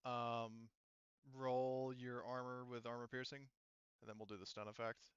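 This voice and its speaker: A man's voice: medium pitch, little pitch movement, unhurried, smooth, no echo, lo-fi sound. A man acting as game master, casually narrating a tabletop game and talking through the rules.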